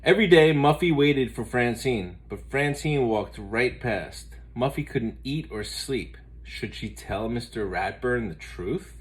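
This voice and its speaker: A man reads a children's picture book aloud like a teacher to a class. His mid-pitched voice moves up and down in an animated, expressive storytelling style. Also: a little slow, few pauses, husky.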